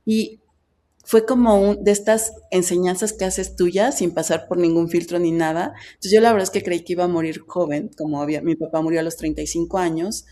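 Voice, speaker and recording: Mexican woman guest, middle-aged, low mellow voice, reflective and candid storytelling. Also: fairly even intonation, a little fast. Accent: Mexican Spanish. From Veracruz, Mexico. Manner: reflective, candid, speaks in long flowing stretches. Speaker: guest, writer and foundation president. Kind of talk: personal storytelling in an interview.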